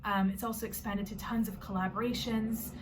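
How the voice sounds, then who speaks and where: A woman's voice: mid-range voice, steady tone, brisk. Brief: Canadian woman in her twenties, easygoing vlogger, mid-range voice, faint hiss in a bedroom. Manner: friendly, explanatory, loose with filler words. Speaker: vlogger and organization founder. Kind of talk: casual talking-to-camera vlog. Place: own bedroom.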